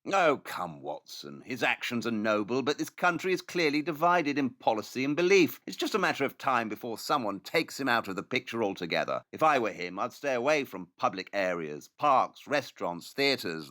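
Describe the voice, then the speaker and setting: An actor playing an aloof, sardonic Victorian detective in an audio drama, speaking in a dry, haughty manner. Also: male voice, mid-range voice, big pitch swings, normal speed, few pauses, pushed and bright. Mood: dryly predicting.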